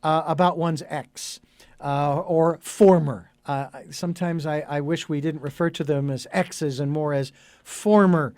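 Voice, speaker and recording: A man's voice: a little high, steady tone, unhurried, a little mellow, dry recording. A middle-aged man hosting a radio interview show, speaking earnestly with a meandering flow and many fillers. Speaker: radio talk show host.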